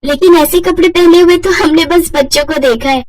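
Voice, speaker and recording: A woman's voice: extremely high pitch, steady tone, normal speed, a little mellow, voice pushed up. A woman voicing a dutiful, naive young village daughter-in-law in a moral story, respectful and innocent. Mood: innocent, surprised.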